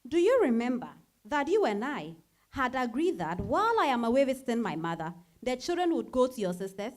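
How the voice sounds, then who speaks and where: A high-voiced, outspoken mother in a dramatized reading, played by a woman. She speaks emphatically, with lively swings of pitch, as someone used to running a busy household. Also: fairly quick. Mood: exasperated. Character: Opokuya, busy, outspoken married mother of four. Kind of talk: dramatized stage-style reading.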